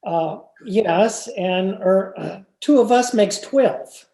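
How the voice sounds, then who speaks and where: A woman's voice: mid-range voice, takes it slowly, warm tone. A woman playing a sheepish, placating husband in an old-time radio sitcom, hesitant and apologetic. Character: sheepish, placating suburban husband, a mother's boy. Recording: roomy, echoing home video-call recording.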